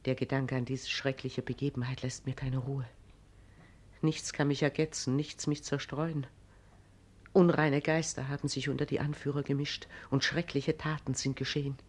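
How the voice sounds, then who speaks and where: A woman's voice: very deep voice, steady tone, normal speed, darkish tone, breathy edge. A proud, troubled regent in a 1940s radio play. She declaims in formal stage German, stern and brooding, weighing every phrase like royalty.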